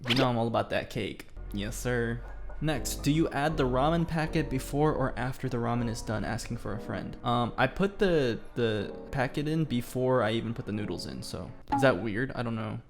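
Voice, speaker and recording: A young man from Korea, Korean his first language, reads out fan questions and answers them with dry, self-mocking jokes. His voice sits at an everyday middle pitch. Clear background music plays under his talking. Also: moderate pace. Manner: playful, self-deprecating, reads questions aloud. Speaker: YouTuber. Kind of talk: casual Q&A vlog.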